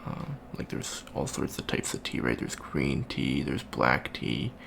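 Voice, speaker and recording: A man playing an awkward, bookish young alien college student in an ASMR roleplay, talking softly and hesitantly with many ums and stammers. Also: rumbling low, sing-song, unhurried, creaky, a little hiss or hum, full character voice. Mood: awkward, musing. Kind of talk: soft-spoken ASMR roleplay.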